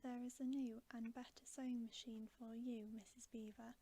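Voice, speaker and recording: A woman voicing a huge, solemn yet glad old gift-giver from a storybook, in a breathy, stately whisper. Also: on the high side, level tone, mellow. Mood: generous, stately. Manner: stately, deliberate.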